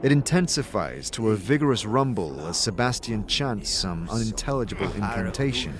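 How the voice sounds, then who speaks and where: A man narrating a dramatic fantasy story in the second person, with a theatrical, expressive delivery. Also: on the low side, moderate pace, noisy background. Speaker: narrator and game master voice. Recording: music behind the voice. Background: music.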